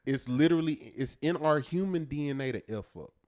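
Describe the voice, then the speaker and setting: A man with a fairly low-register voice, co-hosting a podcast and telling a story in a loose, earnest way. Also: a little fast, darkish tone. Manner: loose and earnest, peppered with "man" and "you know what I'm saying". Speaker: podcast co-host. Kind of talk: casual storytelling chat.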